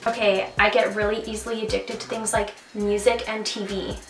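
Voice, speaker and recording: A bubbly young Canadian woman with a mid-range voice rambles happily, dropping in lots of fillers. Her voice sits some distance from the mic in an echoey room, with soft music playing behind. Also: medium pitch, little pitch movement, fairly quick. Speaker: vlogger. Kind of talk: casual chat.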